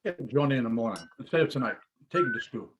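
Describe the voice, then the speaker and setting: A man's voice: mid-range voice, lively intonation, fast talker, very noisy, natural voice. A man acting a wry, easygoing struggling comic in a screenplay table read, on a dull microphone. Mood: wry, casual.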